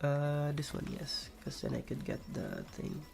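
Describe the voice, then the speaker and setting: A young man with a raspy voice, streaming a game and rambling casually with many fillers and restarts. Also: on the high side, wildly swooping pitch, a little slow, a little mellow, faint music behind. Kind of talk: casual chat while playing a game.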